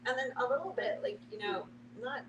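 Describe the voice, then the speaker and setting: A woman's voice: high-pitched, a little fast. Woman in casual discussion, distant and noisy. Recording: distant mic with clear background noise.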